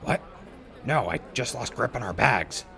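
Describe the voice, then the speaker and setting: A man's voice: medium pitch, expressive, measured pace, creaky, bright, forceful tone, a little hiss or hum, exaggerated voice. A man playing a weary, good-natured wasteland traveler in an audio drama, an earnest and placating peacemaker. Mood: defensive. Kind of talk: post-apocalyptic audio drama acting.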